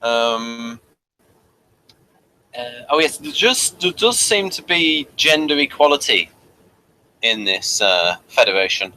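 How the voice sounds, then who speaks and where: A man hosting a casual episode review, speaking in a halting, hesitant way. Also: medium pitch, animated, bright, forceful tone, a little hiss or hum, low-quality recording. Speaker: review host.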